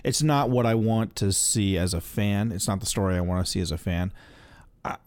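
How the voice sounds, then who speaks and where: A man's voice: on the low side, moderate pace, darkish tone, dry recording. A man co-hosting a podcast, giving his opinions in casual conversation. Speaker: podcast co-host.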